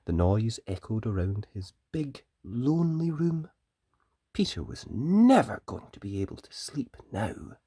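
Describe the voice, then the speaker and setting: Mellow, expressive children's storybook reader. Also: medium pitch, a little slow, breathy edge, dry recording, studio quality.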